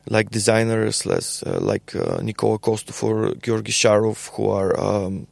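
A young adult man with a Bulgarian accent, a podcast guest talking casually in a halting way with many fillers and repeated words. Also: on the low side, lively intonation, gravelly, no room sound. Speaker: podcast guest, label co-founder. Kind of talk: casual conversational interview.

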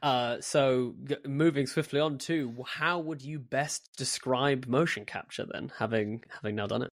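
A man's voice: mid-range voice, unhurried. A man hosting a podcast in casual interview chat, with an effusive, enthusiastic manner. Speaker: podcast host.

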